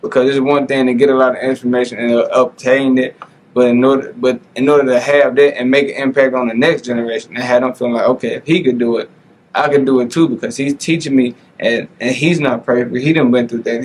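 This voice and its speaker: A man's voice: mid-range voice, steady tone, fast, slight background noise, poor audio. A man hosting a casual podcast interview, earnest and motivational, who keeps saying "bro" and "like.". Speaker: podcast host.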